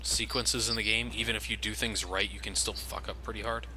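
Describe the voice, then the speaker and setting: A young man giving relaxed, casual commentary over a game, swearing freely and laughing often. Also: on the low side, fairly quick. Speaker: let's play commentator. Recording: game gunfire noise behind. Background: game gunfire and ambience.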